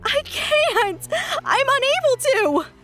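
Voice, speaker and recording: Casting: very high, anxious young woman healer, urgent and strained, as a woman voices her, faint music underneath. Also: big pitch swings, slow, bright, forceful tone, heavily acted. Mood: anguished. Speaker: Coniglio. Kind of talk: fan audio drama acting.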